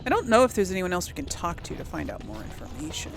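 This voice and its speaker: A woman's voice: lowish voice, very animated, fast, music in the background. A woman doing casual gameplay commentary, hesitant and rambling as she thinks aloud to herself over game ambience. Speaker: host of a role-play let's play series. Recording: clear background noise, game ambience.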